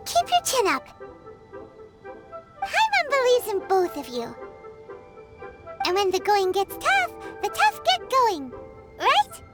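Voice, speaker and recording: Casting: a tiny childlike fairy sidekick for a game, squeaky-high, swooping and excitable, music underneath. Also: female voice, heavily acted. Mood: encouraging. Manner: refers to self in third person, chirpy. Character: small chirpy childlike fairy companion, female, earnest and excitable. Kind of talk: video game voice acting.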